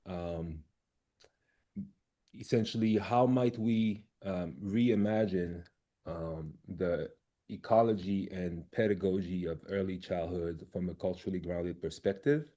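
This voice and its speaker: A man from Cameroon who directs a research lab, presenting on a video call and speaking haltingly, with many fillers and restarts. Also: low voice, fairly even intonation, warm tone.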